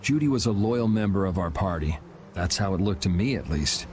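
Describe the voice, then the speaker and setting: A man playing a calm, blunt young vigilante swordsman in a video game, laid-back and matter-of-fact. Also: sits low, a little fast, noisy background.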